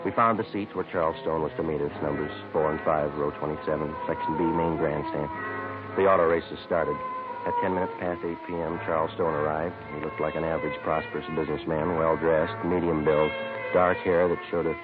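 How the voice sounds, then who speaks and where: Deep-voiced deadpan male cop, terse and brisk, old radio crime drama, tinny recording. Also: over loud music. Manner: deadpan, clipped. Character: terse, matter-of-fact male police sergeant narrating his case. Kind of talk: 1950s radio drama acting, clipped narration.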